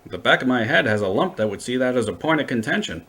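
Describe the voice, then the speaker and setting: Casting: unflappable sci-fi radio adventurer, medium-pitched, dry wit, wordy and flippant. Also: male voice, animated, fast talker, bright, forceful tone. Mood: wry, flippant. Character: wry, unflappable male time-travelling adventurer. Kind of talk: audio drama acting.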